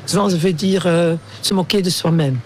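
A middle-aged man with a Belgian Dutch accent, whose first language is Dutch, speaks French in a high voice. He talks in a lively, emphatic way, pausing to search for words. Faint street noise sits behind him. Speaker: interviewee. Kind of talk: street interview.

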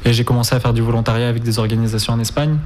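Even-toned young man, hesitant and plain-spoken. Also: medium pitch, rapid-fire, a little hiss or hum. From Ukraine, of Spanish nationality. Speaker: interview guest, volunteer and documentary maker. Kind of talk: informal spoken interview answers.

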